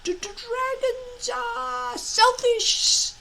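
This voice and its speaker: A woman's voice: extremely high pitch, steady tone, gravelly, pushed and bright, a little hiss or hum, voice pushed up, exaggerated voice. A woman voicing a small, selfish green dragon who stammers and halts as he argues with himself in a storybook reading. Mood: stubborn, self-convincing. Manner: stammering, halting. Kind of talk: storybook character voice.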